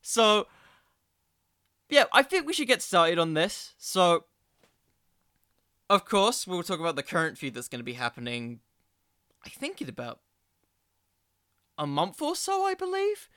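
Man, podcast host, higher-pitched voice, very bright tone, dry close sound, casual opinionated commentary. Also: huge pitch swings, a little slow, long pauses, smooth, no room sound. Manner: informal, opinionated, digressive.

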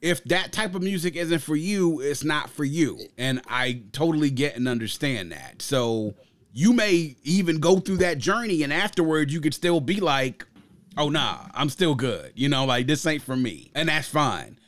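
A man's voice: a little high, lively intonation, normal speed. A man hosting an album review, giving reflective, opinionated commentary in a conversational way and explaining his points at length. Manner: reflective, explains through extended analogies. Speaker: host of an album review discussion.

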